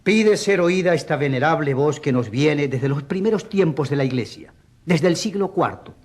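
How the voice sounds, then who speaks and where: Ironic, courteous defence counsel, acted by a man for a courtroom drama, slightly high, raspy, expressive delivery. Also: normal speed. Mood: wry. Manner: ironic, mock-polite, emphatic. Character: wry, ironic defence advocate, a man, needling politely.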